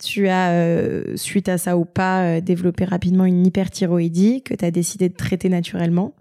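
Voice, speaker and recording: A woman's voice: fairly low pitch, level tone, a little fast, mellow. A woman hosting a podcast, introducing and interviewing a guest. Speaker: podcast host.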